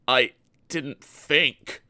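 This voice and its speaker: A man's voice: medium pitch, extremely slow, bright, forceful tone, no echo, studio quality, full character voice. A man voicing a lazy male tank engine who grumbles and complains sheepishly.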